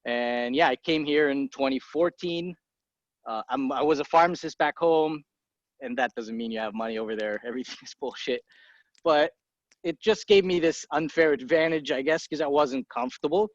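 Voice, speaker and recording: A man's voice: on the high side, dry recording. Egyptian-raised man, blunt and breezy. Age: 30s. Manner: blunt, swears casually, quick impulsive asides. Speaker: guest, entrepreneur. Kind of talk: casual interview talk. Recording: dry muffled podcast audio.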